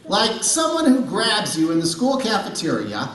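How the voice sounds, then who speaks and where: A bright, forceful puppet sidekick, naive and cocky, swooping around with theatrical emphasis. It is scripted puppet comedy, recorded in an echoey room. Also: male voice, high-pitched, wildly swooping pitch, moderate pace, exaggerated voice. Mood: aggrieved. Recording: echoey room, distant from microphone.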